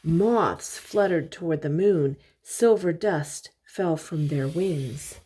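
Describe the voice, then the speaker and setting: An older woman reading a picture book aloud to young children, pausing to explain things and ask simple questions. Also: deep voice, a little hiss or hum. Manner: expressive, simple explanations and questions for little listeners.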